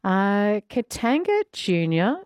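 A woman hosts a radio show with a bubbly, chatty manner. Her voice sits at an ordinary pitch. The sound is close and dry, with no room around it. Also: big pitch swings, takes it slowly, a little mellow, no room sound. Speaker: radio presenter. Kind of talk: casual radio chat.

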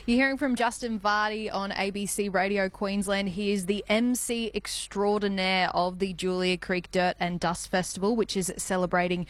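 A woman's voice: medium pitch, steady tone, normal speed, few pauses. A woman with an Australian accent, a radio host interviewing a guest and steering the talk with friendly questions.

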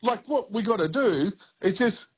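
A man's voice: high voice, expressive, moderate pace, slightly rough. A young man with an Australian accent calling a talk radio show, rambling and colloquial. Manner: rambling, colloquial, repeats "you know what I mean". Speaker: caller. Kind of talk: casual phone-in chat.

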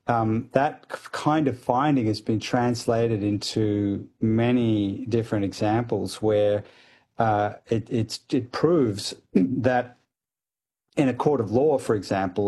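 A man's voice: fairly low pitch, mellow, breathy edge. A man as a guest expert on a radio talk show, explaining research at length with a thoughtful manner and frequent "kind of".

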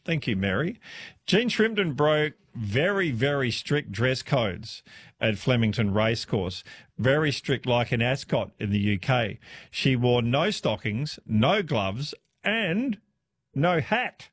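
A man's voice: medium pitch, big pitch swings, takes it slowly, husky. A man with an Australian accent hosting a talkback radio show, chatting and reading out listener messages. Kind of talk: casual talkback radio chat.

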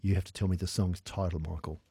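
Teasing Australian radio quiz host. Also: male voice, low-pitched, level tone, quick pace, loud music behind the voice. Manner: jokey, teasing, laughs often. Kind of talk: casual on-air chat with callers.

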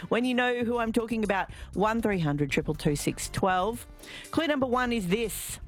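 An Australian woman presenting local radio in a relaxed, chatty way, repeating quiz clues and a phone number. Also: fairly high pitch, normal speed, faint music behind. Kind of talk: casual local radio chat.

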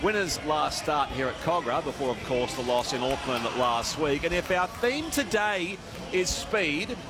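A man's voice: on the high side, lively intonation, unhurried, music in the background. An Australian man reporting from a rugby league ground, setting the scene before kickoff. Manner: polished, informative scene-setting. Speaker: sideline sports reporter. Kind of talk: sports broadcast report.

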